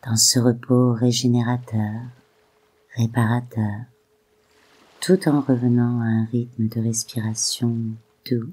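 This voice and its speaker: A soft, breathy voice guiding a meditation in a soothing, unhurried way with gently spaced phrases. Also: mid-range voice, level tone, poor audio. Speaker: guided meditation host. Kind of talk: slow soothing guided meditation narration.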